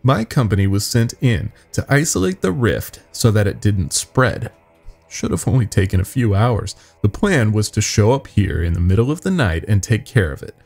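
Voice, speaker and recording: A man voicing a nervous young company worker confessing secrets in a halting, grave horror-story telling. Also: fairly low pitch, sing-song, creaky, a little mellow, breathy edge, soft background music, full character voice. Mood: confiding.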